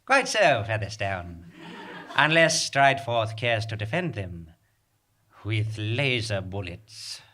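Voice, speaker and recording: An actor playing a menacing bandit leader in a live comic western radio drama, speaking in a grandiloquent, deliberate, theatrical way. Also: male voice, on the low side, sing-song, bright, forceful tone, full character voice. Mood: smug, calculating.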